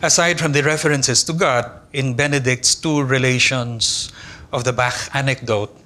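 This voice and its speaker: Older man giving a measured academic lecture. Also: mid-range voice, steady tone, bright, forceful tone. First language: Tagalog. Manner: measured, deliberate reading with careful pauses. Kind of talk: academic conference lecture read from a text. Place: large lecture hall with an audience. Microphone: lectern microphone.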